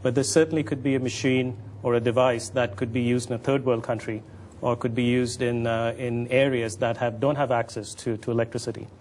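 A man in his forties explains his points as a studio panel guest. He is measured, repeating phrases for emphasis, with a medium-pitched voice over some background noise. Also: little pitch movement, darkish tone. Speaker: panel guest, engineering academic. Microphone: lapel.

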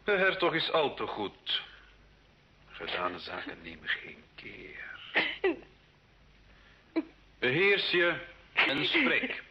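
Slow, imperious scheming bishop. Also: male voice, medium pitch, huge pitch swings, sharp tone, a little hiss or hum, full character voice. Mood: stern, impatient. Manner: measured, suave, commanding. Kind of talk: Dutch-language radio play acting. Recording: dull, lo-fi old radio recording.

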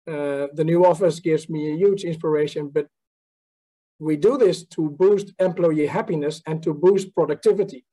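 A man in his forties joins an online panel from home over his laptop mic. His voice sits fairly high with a soft, dark warmth. He talks thoughtfully, often circling back and repeating words. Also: normal speed, slightly rough, rough recording. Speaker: panelist, company managing director. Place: home room on video call.

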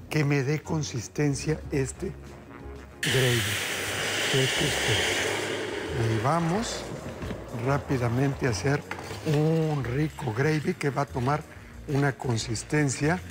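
A man's voice: on the high side, takes it slowly, pauses often, background noise. A middle-aged man hosting a TV cooking show, talking viewers through a recipe in upbeat, chatty patter and calling them family. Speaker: TV chef host. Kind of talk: live cooking demonstration. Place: TV studio kitchen. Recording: background music under the voice.

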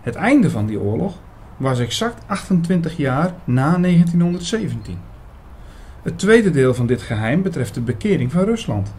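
A man's voice: on the high side, very animated, normal speed, a little mellow, husky. A man playing an attentive investigator who narrates his own story in a scripted radio drama. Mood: engaged, appreciative. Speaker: narrator and lead actor in an audio drama.

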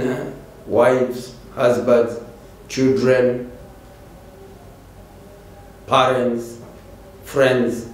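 Slow-preaching Kenyan pastor in an echoey church. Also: male voice, mid-range voice, a little hiss or hum. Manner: slow, deliberate preaching with repeated phrases. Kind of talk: sermon. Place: large church, indoors. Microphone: stand mic at the pulpit.

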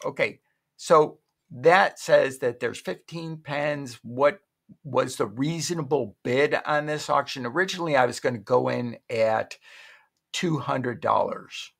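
Gravelly middle-aged man rambling casually. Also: medium pitch, unhurried, a bit bright. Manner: rambling, self-correcting, chatty. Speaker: online shopping vlogger. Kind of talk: casual screen-share walkthrough.

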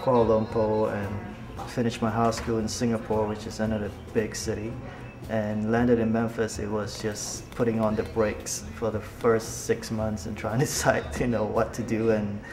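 A man in his forties, from Malaysia, talks about his work in a relaxed, halting interview manner. His voice sits low and stays fairly flat, filled with small pauses and fillers. Music plays clearly beneath him in the office hallway recording. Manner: relaxed, hesitant, many fillers. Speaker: architect. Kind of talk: casual interview. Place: bright hallway of an office building. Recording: music playing underneath.

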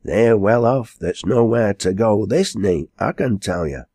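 A man's voice: on the low side, lively intonation, measured pace, warm tone, slightly rough, dry recording, pitched above the performer's own voice, full character voice. A man voicing a blunt, hearty rural quarryman in a storybook, with emphatic Lancashire dialect. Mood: blunt, wry.

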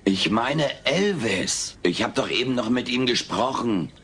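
An actor playing a stubborn, cheeky alien in a dubbed sitcom audio play, theatrical and full of wisecracks. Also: male voice, medium pitch, expressive, measured pace, a bit bright. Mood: groggy, baffled. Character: cheeky, stubborn male alien, wisecracking pop-culture fan.

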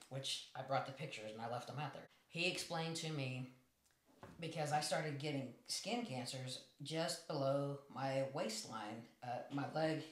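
A man in his forties with a high voice, calmly telling his life story as an interview guest in a small room. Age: 40s. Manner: matter-of-fact, plain storytelling. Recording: echoey room.

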